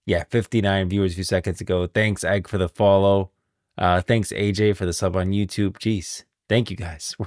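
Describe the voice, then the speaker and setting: A man with a deep voice hosts a livestream, captured close and dry. He chats casually and reacts as he goes, using informal slang and often thanking his viewers. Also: steady tone, moderate pace, darkish tone, dry recording. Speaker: livestream host.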